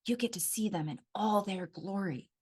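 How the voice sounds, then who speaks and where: A woman's voice: medium pitch, normal speed, darkish tone, breathy edge, close to an everyday voice. An easygoing barman in an audiobook story, acted by a woman narrator. He talks like someone happy to share gossip with whoever is at the counter. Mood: knowing, hushed. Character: male bartender, low-voiced, easygoing gossip.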